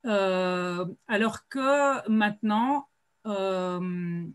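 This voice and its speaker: Lady on a video call, talks real slow, stops to think all the time. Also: mid-range voice, clear, resonant tone. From Slovenia. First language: Slovene. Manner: hesitant, many drawn-out "euh" pauses. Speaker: writer at a colloquium panel. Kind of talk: panel discussion remarks.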